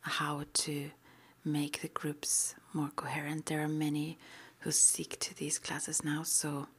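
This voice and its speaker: Slow whispering woman, halting ASMR roleplay. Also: sits low, completely flat delivery. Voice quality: breathy whisper. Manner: hesitant, halting, gently reassuring. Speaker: ASMR roleplayer playing a witch school guide and interviewer.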